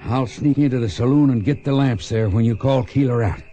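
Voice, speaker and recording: An actor playing a seasoned, loyal gunfighter mentor with folksy, low-key Western talk in a radio drama. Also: male voice, brisk, warm tone, soft background music, natural voice. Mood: fond, hushed.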